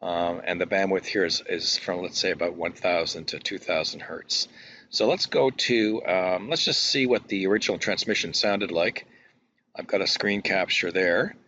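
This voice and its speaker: Male hobby presenter, very low voice, hesitant technical delivery, faint background noise. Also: moderate pace, bright, forceful tone. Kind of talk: casual screen-capture demonstration. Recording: dull lo-fi audio, faint noise.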